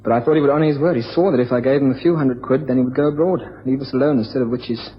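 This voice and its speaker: An actor playing a desperate blackmailed husband in a radio drama, protective and resolute, speaking haltingly with grim determination. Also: male voice, medium pitch, natural voice. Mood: despairing. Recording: muffled, echoey room, long reverb, faint noise.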